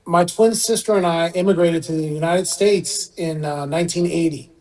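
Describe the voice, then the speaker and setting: A man's voice: a little high, normal speed, darkish tone, low-quality recording. A middle-aged man born in Iran and raised in Southern California, English his second language, answering conversationally as a guest surgeon. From born in Iran, grew up in Southern California. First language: a language other than English.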